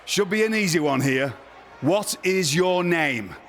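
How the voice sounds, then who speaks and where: A man's voice: fairly high pitch, lively intonation, measured pace, fairly bright tone. A man playing a pompous, theatrical wrestling general manager in a video game cutscene, speaking in formal, grandiose phrases. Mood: pompous, officious.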